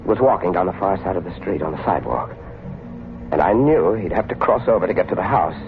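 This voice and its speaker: An actor playing a desperate, paranoid fugitive killer in an intense, agitated, melodramatic vintage radio suspense style. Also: male voice, deep voice, expressive, fast, creaky, over music. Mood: tense narrating. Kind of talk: 1940s radio suspense acting, first-person narration. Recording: noisy, tinny old radio drama recording.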